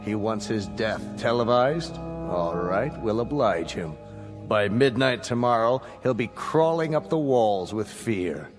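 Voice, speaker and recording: A man's voice: lowish voice, natural voice. A man playing a pompous chancellor in an echoing hall. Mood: gloating, vindictive. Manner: formal, pompous, oratorical. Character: cold, smug authoritarian male state chancellor. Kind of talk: dramatic radio play acting. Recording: echoey reverberant hall, music underneath.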